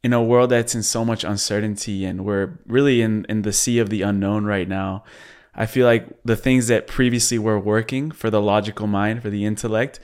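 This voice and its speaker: A man's voice: fairly low pitch, steady tone, moderate pace, darkish tone, clean tone. A man hosting a conversational interview, reflective and building his points with repeated phrases. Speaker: podcast host, interviewer.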